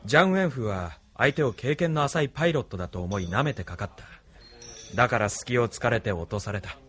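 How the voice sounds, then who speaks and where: A man plays a cool young military officer in an anime audio drama. He is terse and guarded, yet his pitch moves expressively through each line. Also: animated, quick pace, a bit bright, close to an everyday voice. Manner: terse, dry, reserved.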